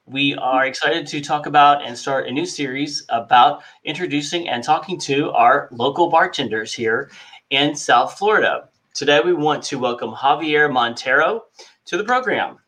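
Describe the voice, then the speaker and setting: A man hosting a podcast, asking slow, hesitant questions with fillers. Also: on the high side, pushed and bright. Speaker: podcast host. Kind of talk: interview host.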